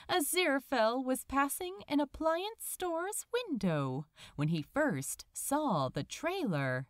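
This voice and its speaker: A woman reading fiction aloud as a dramatic audiobook narration, with an expressive, lingering delivery. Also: a little high, no room sound, clean studio sound.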